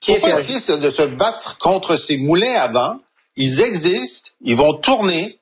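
A man's voice: on the high side, very animated. A man commenting on a TV panel, building his point through extended metaphors. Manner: figurative, measured argument.

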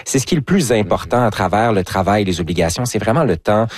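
Male panelist, lower voice, calm and reflective, dry. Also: quick pace, dry recording. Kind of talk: radio panel chat.

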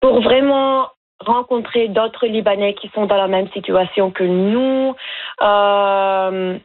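A woman's voice: medium pitch, slow. A young woman from Lebanon, a veterinary student and radio guest, speaks earnestly and hesitantly, with many fillers. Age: young adult. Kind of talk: phone-in radio interview. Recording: tinny phone line.

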